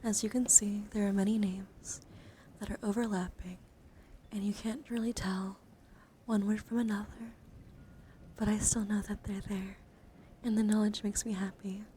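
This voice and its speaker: Softly whispering woman, noisy ASMR livestream. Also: medium pitch, level tone, normal speed. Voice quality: soft, breathy, often whispered. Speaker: livestreamer and VTuber host. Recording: clearly noisy ambient background.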